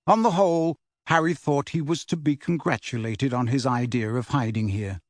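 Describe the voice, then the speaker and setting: A man narrates a novel as an audiobook reader. His voice sits at a middle pitch and follows the written prose. The recording is dry, with no room sound. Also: moderate pace, dry recording. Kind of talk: scripted story narration.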